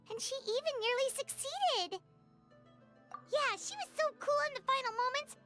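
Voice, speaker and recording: A woman voicing a small, childlike fairy companion in a video game, squeaky and emphatic, fiercely supportive. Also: sharp tone, full character voice. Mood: relieved, impressed. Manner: emphatic, exclamatory. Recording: game music underneath.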